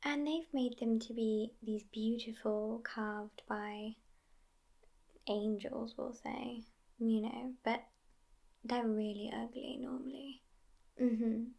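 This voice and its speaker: A woman acts out a haughty, sassy vampire girlfriend who has lived for centuries yet sounds youthful. She talks slowly and languidly in a hushed, near-whispered ASMR style. Her female voice sits a little high and often slips into fry. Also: fairly even intonation, full character voice. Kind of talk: soft-spoken ASMR roleplay.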